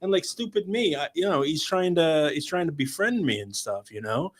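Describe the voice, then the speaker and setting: A man hosting a casual podcast, friendly and halting, with many fillers. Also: a little high, expressive. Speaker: podcast host.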